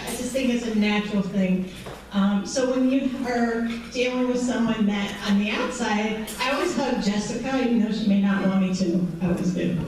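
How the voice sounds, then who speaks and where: A woman in her forties with a high voice, a counselor giving a conversational presentation to a patient group, peppered with um and right. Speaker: mental health counselor. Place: large echoey event room. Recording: echoey hall, distant mic, faint noise.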